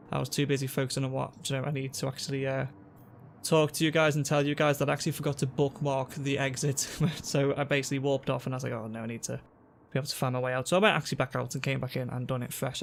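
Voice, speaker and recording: A young man with a sore throat and a congested voice, calmly explaining a game to viewers on a headset mic. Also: mid-range voice, vocal fry. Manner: relaxed, explanatory, talks to viewers. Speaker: gaming youtuber. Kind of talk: casual gameplay tutorial. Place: home room at a desk.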